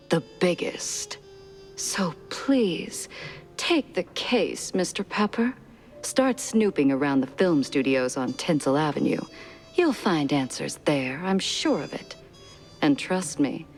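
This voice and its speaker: A woman playing a grave, mysterious female cartoon mouse client in a 1930s noir game, measured and sultry. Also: deep voice, expressive, faint music behind. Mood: bitter, pleading.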